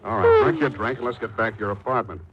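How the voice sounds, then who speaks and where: An actor playing a tough, wisecracking private detective in a sardonic 1940s radio mystery style. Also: male voice, mid-range voice, wildly swooping pitch, fast talker, dull audio, a little hiss or hum. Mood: wry, needling. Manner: wry, hard-boiled, sarcastic. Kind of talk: 1940s radio mystery acting, with first-person narration. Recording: 1940s radio broadcast with music.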